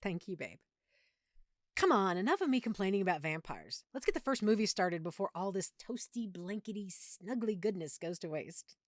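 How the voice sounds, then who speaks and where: A woman's voice: mid-range voice, very animated, normal speed, no room sound, studio quality, natural voice. A woman playing an affectionate, opinionated girlfriend who is secretly a vampire, in an intimate, conversational audio roleplay. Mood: cozy, affectionate. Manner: chatty, playful, geeky explaining.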